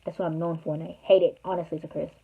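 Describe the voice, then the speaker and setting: A woman's voice: sits low, little pitch movement, racing, creaky, breathy edge. A young woman telling a personal story to her viewers in a rambling, chatty way, full of fillers. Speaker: vlogger. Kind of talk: casual storytime. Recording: tinny phone-like sound.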